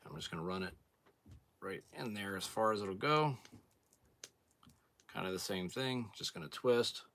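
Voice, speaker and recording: A hoarse man clearing his throat as he explains a cleaning job step by step in a relaxed, plain-spoken way, sometimes moving away from the camera mic. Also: lowish voice, normal speed. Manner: relaxed, plain-spoken, thinking aloud while working. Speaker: outdoors channel host. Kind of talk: casual hands-on demonstration.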